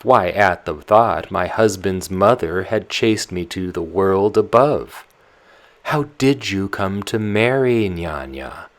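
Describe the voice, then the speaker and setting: A man voicing a fussy, devout old peasant nurse woman who exclaims fretfully. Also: deep voice.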